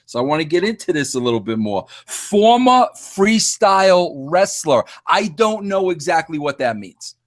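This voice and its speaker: A man's voice: high voice, sing-song, normal speed, hardly any pauses. A man hosting a podcast interview, teasing his guest and often repeating words and restarting sentences. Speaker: podcast host. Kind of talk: casual interview chat.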